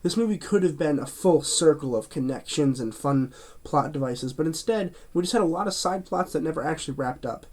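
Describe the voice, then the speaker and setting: A man with a fairly high voice talks his way through a casual film review. He is under the weather, so his voice sounds a little stuffy and nasal. He rambles and circles back, filling gaps with ums and restarts. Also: animated, brisk, darkish tone. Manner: chatty and rambling, with many fillers and restarts. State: stuffy, a bit nasal from a cold. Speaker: movie reviewer, channel host.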